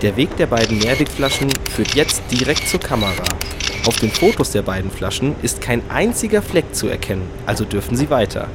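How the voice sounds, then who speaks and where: A man's voice: mid-range voice, big pitch swings, fairly quick, heavy background noise. A man narrating a scripted children's story as the storyteller of a radio play.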